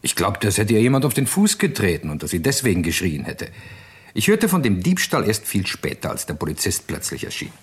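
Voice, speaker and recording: An actor playing a suave, evasive gentleman secret agent in a radio crime drama, with an urbane and unflustered way of talking. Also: lowish voice, big pitch swings, fairly quick, husky, heavily acted. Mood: guarded, explaining.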